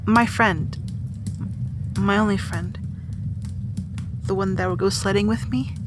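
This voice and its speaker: A woman's voice: medium pitch, fairly even intonation, slow pace, background noise, natural voice. A woman playing an earnest, determined young heroine in an audio drama, speaking with emotion. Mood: guilty, sorrowful. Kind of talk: amateur audio drama acting.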